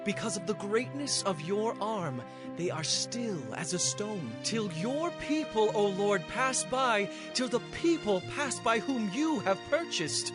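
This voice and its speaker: An actor playing a fervent, triumphant Hebrew leader, reciting praise in declamatory poetic phrases for a scripture drama. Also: male voice, high voice, expressive, measured pace, few pauses, music in the background. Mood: fervent, reverent.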